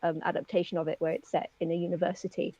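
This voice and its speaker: A woman's voice: fairly low pitch, level tone, very fast, mellow, no room sound. A woman co-hosting an interview in casual, chatty style, heard on a lo-fi microphone. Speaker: interview co-host. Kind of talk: casual chat. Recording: dull lo-fi mic, dry.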